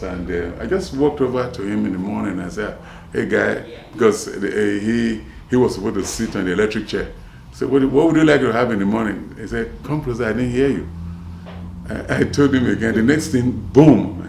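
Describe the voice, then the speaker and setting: A man's voice: fairly low pitch, moderate pace, vocal fry, a little mellow, echoey room, a little hiss or hum. A man in his seventies from Nigeria, with a mixed Nigerian and American accent, telling his story in a rambling way with many restarts. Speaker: interviewee, former prison officer. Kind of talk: casual street interview.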